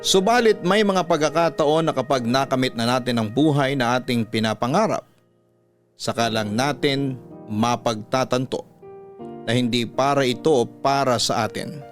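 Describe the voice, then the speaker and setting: A man hosts a radio storytelling show. His mid-range voice gives a measured, sentimental scripted narration, with music softly underneath. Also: mid-range voice. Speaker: radio storytelling host reading listener letters. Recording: voice over soft background music.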